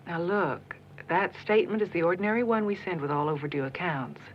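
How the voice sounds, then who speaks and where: An actress playing a businesslike accounts clerk answering a call, in a 1980s training film. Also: female voice, fairly low pitch, brisk, slight background noise, close to an everyday voice. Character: brisk accounts clerk on the phone. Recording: muffled film soundtrack.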